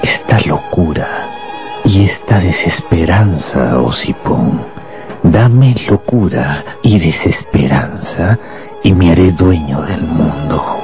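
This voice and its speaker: An actor playing a contemptuous, power-hungry anarchist professor in a radio drama, with a grandiose, deliberate delivery. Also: male voice, low voice, animated. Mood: grandiose contempt. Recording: music behind the voice.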